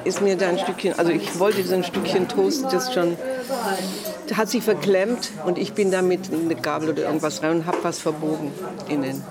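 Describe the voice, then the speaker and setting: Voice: medium pitch, steady tone, moderate pace, a loud crowd. A café visitor rambling through how a toaster got broken. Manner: rambling, self-correcting.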